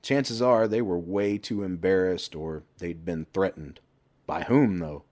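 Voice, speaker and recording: Man, low pitch, scripted audiobook narration, storyteller. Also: measured pace, a little mellow. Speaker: narrator, author reading a story.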